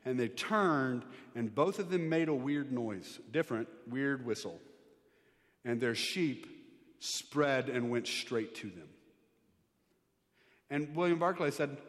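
A man's voice: mid-range voice, takes it slowly, pauses often. Preaching man in an echoing hall. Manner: animated preaching, rising and louder on emphatic points; sings hymn lines softly. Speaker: pastor. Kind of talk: sermon. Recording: long reverb of a large hall.

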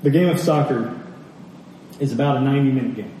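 A man's voice: mid-range voice, fairly quick, dark tone. A man preaching in a conversational teaching style, earnest, reading scripture, asking rhetorical questions and repeating phrases for emphasis. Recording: very echoey hall, distant mic, faint noise.

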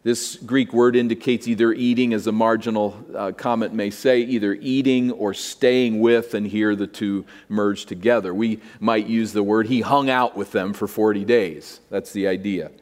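A man's voice: on the low side, fairly even intonation, moderate pace, hardly any pauses, a little mellow. Male preacher in a reverberant hall. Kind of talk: expository sermon.

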